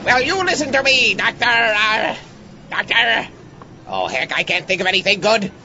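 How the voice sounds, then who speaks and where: A man's voice: high voice, lively intonation, moderate pace, over music. A man playing a petty, resentful mad scientist rival in a comic audio drama, sneering and blustering melodramatically. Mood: blustering menace. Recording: dull lo-fi recording, clear noise.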